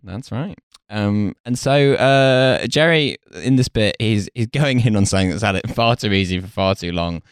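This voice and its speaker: A man from Essex, England hosting a podcast with wry, deadpan banter in casual comedic chat. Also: on the low side, normal speed, clear, resonant tone, no echo, clean studio sound. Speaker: podcast host.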